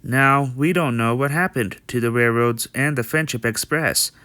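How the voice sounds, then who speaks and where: A man acts out a friendly young stallion from a fan-made cartoon dub. He is chatty and practical, explaining things earnestly in a clean, smooth voice. The sound is dry and close. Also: medium pitch, normal speed, sharp tone, dry recording, lightly acted. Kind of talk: amateur comic dub voice acting.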